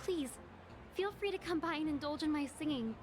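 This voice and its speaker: An actress playing a bubbly young singer in a video game who talks in a cheerful, gushing way. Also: female voice, high-pitched, expressive, a little fast, background noise.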